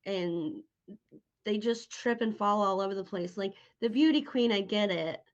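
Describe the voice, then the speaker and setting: A woman's voice: mid-range voice, a little slow, muffled recording. A woman speaking informally as an interviewer in a casual, opinionated chat. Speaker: podcast interviewer. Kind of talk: casual chat about horror tropes.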